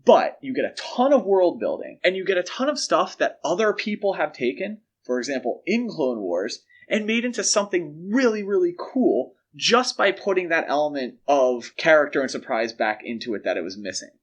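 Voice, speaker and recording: A man's voice: on the high side, big pitch swings, normal speed, hardly any pauses. A man hosting a podcast, talking through films in a casual, analytical and emphatic way. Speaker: podcast host. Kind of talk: casual analytical film discussion.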